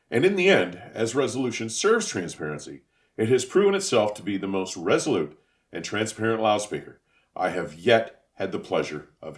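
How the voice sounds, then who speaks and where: A man narrating a scripted equipment review in an emphatic, florid manner, with deliberate enunciation. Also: low-pitched.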